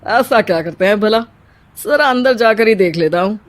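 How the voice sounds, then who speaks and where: A man's voice: high-pitched, animated, moderate pace. A male actor playing a flirtatious, vain and irritable retired husband in his fifties in a comedy family story, with theatrical exclamations. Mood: casual, evasive. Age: middle-aged.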